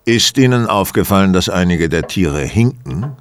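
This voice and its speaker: An actor playing a shrewd, courteous, self-assured detective in a German audio drama, speaking theatrically and deliberately. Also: male voice, low voice, lively intonation, no room sound. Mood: probing, brisk.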